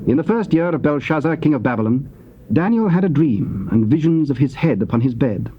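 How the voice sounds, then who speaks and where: A British actor narrating biblical events as a grave storyteller, formal and measured. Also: male voice, a little high, sing-song. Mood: solemn. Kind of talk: scripted scripture narration. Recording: dull, noisy old cassette tape.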